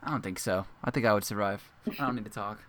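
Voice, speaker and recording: Bright-voiced loud male podcast host. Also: lowish voice, quick pace. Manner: loud, jokey, laughs often. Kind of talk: casual podcast chat.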